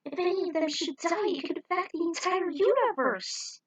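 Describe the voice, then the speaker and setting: A woman's voice: very high voice, normal speed, voice pushed up. A woman voicing an earnest, science-minded starship crew member in a story, grave and explanatory. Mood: fearful.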